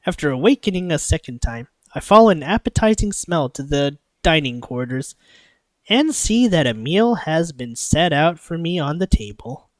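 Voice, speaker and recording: An expressive streamer reading a visual novel aloud and breaking off into chatty, rambling asides. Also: mid-range voice, moderate pace, dry recording. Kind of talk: live playthrough, narration with character voices and asides.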